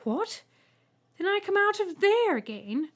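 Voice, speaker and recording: A woman's voice: extremely high pitch, sing-song, unhurried, pitched above the performer's own voice, exaggerated voice. A woman voicing a seventy-three-year-old woman in a storybook, bewildered, plain-spoken and questioning. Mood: stunned, incredulous.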